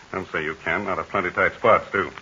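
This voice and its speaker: An actor playing a calm, fatherly heroic aviator in a 1940s radio serial, speaking in measured, assured tones. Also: low-pitched, slightly rough, full character voice. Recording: dull scratchy 1940 radio transcription.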